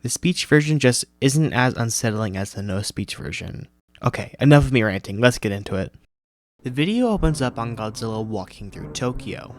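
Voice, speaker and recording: A man's voice: medium pitch, lively intonation, normal speed. A man hosting a video review, narrating and commenting from a script. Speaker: YouTube host reviewing horror videos.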